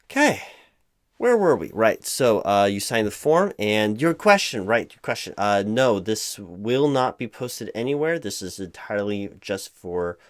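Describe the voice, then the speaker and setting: Halting, low-voiced man as flustered hiring manager. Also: very animated, dry recording, studio quality. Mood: flustered, formal. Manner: halting, stammering, awkwardly formal. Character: flustered, distracted, apologetic male hiring manager. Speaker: performer playing a job interviewer. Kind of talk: one-sided comedic audio roleplay, loud spoken.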